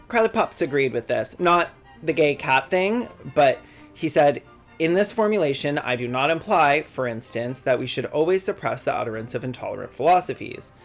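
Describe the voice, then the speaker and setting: A young man talks through a scripted commentary in a medium pitch, mixing earnest argument with profane, sardonic asides. A soft bed of music sits faintly underneath his voice. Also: creaky. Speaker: video essayist. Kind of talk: scripted commentary with sarcastic jokes.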